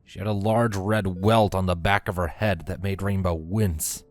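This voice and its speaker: A man's voice: low-pitched, steady tone, normal speed. A man reading a scripted story as its narrator. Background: music.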